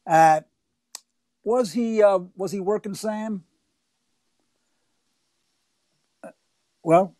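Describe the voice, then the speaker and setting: Man playing a high-voiced, folksy police detective. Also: dark tone, dry recording. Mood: hesitant, sympathetic. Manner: halting, plain-spoken, pleading. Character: folksy, hesitant, conciliatory male police detective. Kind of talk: 1940s radio drama acting.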